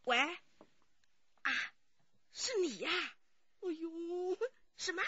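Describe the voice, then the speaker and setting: A bright, very high voice swoops through the lines of anxious old woman in a radio drama. She is flustered and evasive, full of sighs and repeated pleas, fiercely protective of her son. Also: lively intonation, slow, no room sound, exaggerated voice. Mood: panicked, distressed. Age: older. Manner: flustered, wailing, repeats herself, many sighs. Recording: slightly dull studio radio drama sound.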